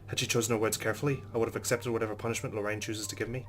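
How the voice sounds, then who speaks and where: A man's voice: on the low side, flat delivery, very fast. A man hosting a let's play, reading visual novel narration aloud and adding casual asides. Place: recorded voice-over with game music behind.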